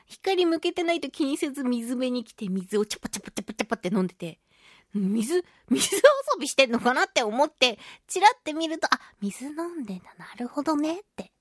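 A woman with a high-pitched voice hosts a casual solo radio talk. She tells stories in an animated way, sprinkling in sound-effect words and mock-polite turns of phrase. The sound is close and dry, with very little room on it. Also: dry recording. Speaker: radio show host.

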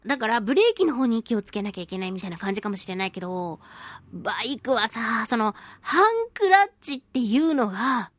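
A woman's voice: fairly high pitch, fairly quick, no echo. A woman hosting a chatty solo radio show, reading and responding to listener mail in a playful, exclamatory way. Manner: playful, exclamatory, giggly, expressive interjections. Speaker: radio show host, voice actress.

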